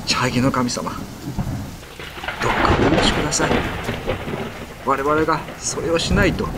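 An actor playing an earnest, heavy-hearted village husband, anguished and resigned, in a ghost-story radio drama. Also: male voice, on the high side, very noisy. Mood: pleading.

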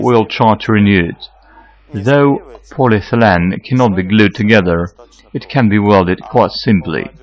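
A man in his forties giving a measured, scripted how-to explanation as a technical consultant. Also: lowish voice, lo-fi sound. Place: electronics workshop, indoors.

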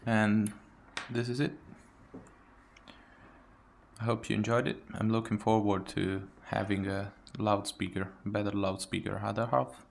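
Man, lowish gravelly voice, casual tech demo commentary, halting phrasing. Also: level tone, slight background noise. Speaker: tech hobbyist demonstrating a phone mod. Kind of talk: casual hands-on video commentary. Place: indoors, at a wooden table.

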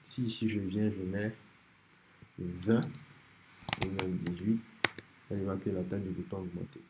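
A man with a cold, calmly walking through a software design tutorial step by step over a screen recording. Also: low-pitched, moderate pace, heavy background noise. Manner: relaxed, step-by-step explaining with many restarts. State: has a cold.

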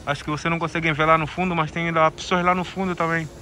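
A man's voice: on the high side, level tone, fast, bright, forceful tone, a little hiss or hum. A man from Angola vlogging outdoors on a ski slope into a handheld camera, laughing often and cheering his friend on. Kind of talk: casual vlog chat.

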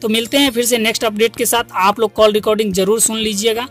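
A man's voice: high-pitched, little pitch movement, quick pace, fairly bright tone. A man hosting an online channel, giving viewers an informational update in a repetitive, instructional way. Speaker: YouTube channel host. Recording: music under the voice. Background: background music.

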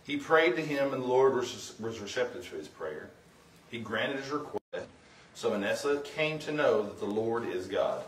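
A middle-aged man giving an informal, somewhat halting sermon as a guest preacher, mixing plain talk with scripture reading. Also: medium pitch, lively intonation, slight background noise. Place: church sanctuary, indoors. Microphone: far camera. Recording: roomy, distant from the microphone.

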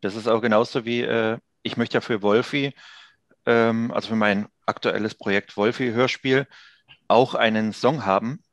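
A man in his thirties with a low voice talks casually as an interview guest. The sound is close and dry, picked up by a headset during a video call. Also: steady tone, normal speed, no room sound. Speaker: audio drama producer, interview guest. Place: indoor office room on a video call.

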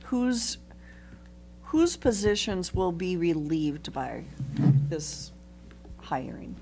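A middle-aged woman at a school board meeting, asking measured questions into a desk microphone. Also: fairly low pitch, sing-song, warm tone, soft background music.

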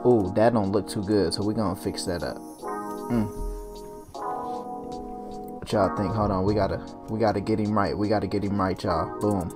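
A man's voice: fairly low pitch, normal speed, long pauses. Young man, laid-back tutorial chatter, music behind. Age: young adult. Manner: hyped barber persona at the start, then relaxed mumbling while working. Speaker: cartoon animator and channel host. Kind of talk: casual tutorial vlog with slang. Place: home studio at desk. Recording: music playing under the voice.

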